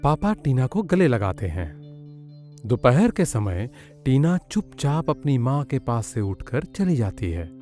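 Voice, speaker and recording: A man's voice: mid-range voice, big pitch swings, a little slow, warm tone, faint music behind. A man narrating a children's cartoon story in a scripted storytelling style.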